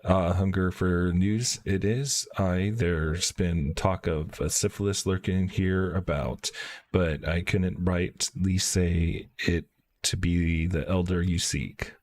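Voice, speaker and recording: A man's voice: low voice, steady tone, slow pace, darkish tone. A man reading game dialogue aloud as a chatty, folksy fantasy tavern keeper with rustic, old-fashioned wording. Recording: clear background noise.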